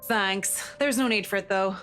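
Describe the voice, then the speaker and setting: A woman's voice: mid-range voice, moderate pace, fairly bright tone, lightly acted. Woman doing a poised designer lady, cool and curt, faint music underneath. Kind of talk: visual novel reading.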